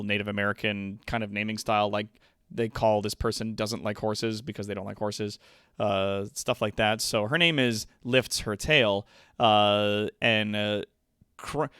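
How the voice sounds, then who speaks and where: A man's voice: on the low side, a bit bright, smooth, dry recording, studio quality. A man hosting a livestream, explaining things casually and playfully with some hesitation. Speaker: livestream host.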